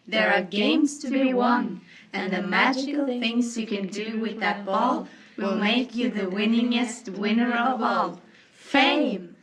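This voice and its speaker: A woman's voice: fairly high pitch, a bit bright. A woman teacher reading a children's rhyming book aloud in an echoey room.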